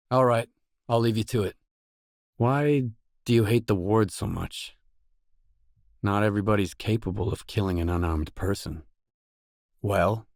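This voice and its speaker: An actor playing a guarded, dry, tough stalker mercenary in a video game, with terse, blunt delivery. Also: male voice, on the low side, darkish tone, no room sound. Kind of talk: video game voice acting, isolated dialogue lines.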